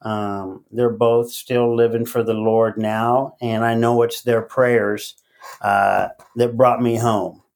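A middle-aged man raised in Latin America, speaking as a podcast guest in a casual, halting way with many fillers and restarts. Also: mid-range voice, level tone, creaky, darkish tone. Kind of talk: casual interview.

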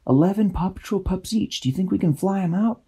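A man's voice: sits high, fairly quick, dark tone, husky, voice pushed up, heavily acted. A man voicing Dashy, a brave little flying girl who is hurt but determined, earnest and urgent. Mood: worried.

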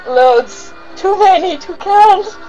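A woman's voice: extremely high pitch, animated, slow, warm tone, muffled recording, soft background music. A woman from France, chatting politely but gushing in a casual fan commentary.

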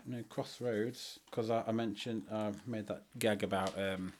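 A man's voice: on the low side, fairly even intonation, measured pace, a little mellow. A man co-hosting a conversational theatre review podcast with a theatrical, presenter-like delivery.

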